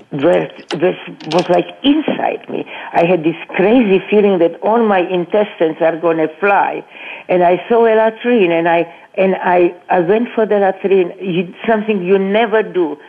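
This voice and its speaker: An older woman tells her story slowly and haltingly, circling back and restarting her sentences. Her English is not native, and the grammar slips now and then. The sound is thin and tinny, as if heard over a phone line. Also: low-pitched. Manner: halting, with many restarts and repeated words. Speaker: Holocaust survivor guest. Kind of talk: personal testimony in an interview.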